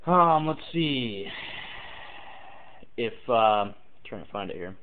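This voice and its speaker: Lowish guy voice on tinny phone-quality audio, casual tutorial, kinda halting, thinks out loud, does example radio callouts. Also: lively intonation, clean tone. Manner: informal, halting, thinking aloud. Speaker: amateur CB radio tutorial maker. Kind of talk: casual explainer with acted example radio calls.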